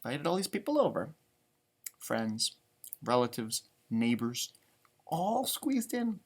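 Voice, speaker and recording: A man reading a children's storybook aloud in an expressive, exaggerated way, with chatty asides. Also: mid-range voice, slow pace, breathy edge. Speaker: elementary music teacher reading aloud. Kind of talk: animated children's storybook read-aloud with commentary.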